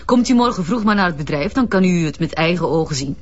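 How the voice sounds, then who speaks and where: Haughty, animated Flemish businesswoman. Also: lowish voice, fast talker. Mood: defiant, scornful. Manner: cutting, dismissive, peppers speech with English. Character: haughty, defiant businesswoman under police questioning. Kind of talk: broadcast crime drama acting. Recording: dull, muffled drama sound.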